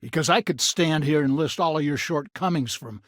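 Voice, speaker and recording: An actor playing a stern, proud old grandfather of a frontier family in a video game, gruff and curt, with a gravelly, husky voice and folksy turns of phrase. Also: fairly high pitch, normal speed, fairly bright tone, no room sound, clean studio sound, lightly acted. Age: older. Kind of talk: dramatic video game dialogue acting.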